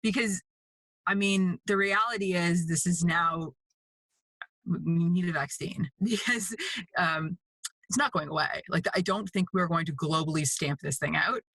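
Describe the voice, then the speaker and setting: A woman's voice: lowish voice, unhurried, a bit bright, slightly rough, no echo, low-quality recording. A woman from Canada with a Canadian accent, chatting casually as an interview guest. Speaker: guest, mixed media artist.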